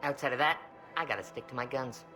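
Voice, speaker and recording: Man playing a fast, brash arms dealer. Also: mid-range voice, over music, close to an everyday voice, poor audio. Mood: brash, scheming. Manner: brash, streetwise. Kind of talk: video game acting.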